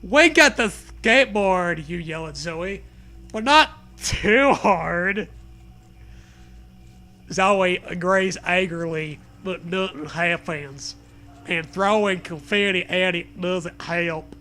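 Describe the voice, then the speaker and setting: A man reading a comedy story aloud with deliberate, mock-dramatic narration and bits of banter. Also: high-pitched, sharp tone, slight background noise.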